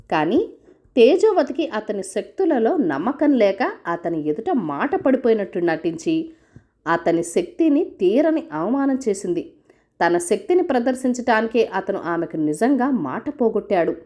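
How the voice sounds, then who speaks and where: A woman's voice: mid-range voice, big pitch swings, a little slow, dark tone. A woman reading a scripted folk tale as a storyteller.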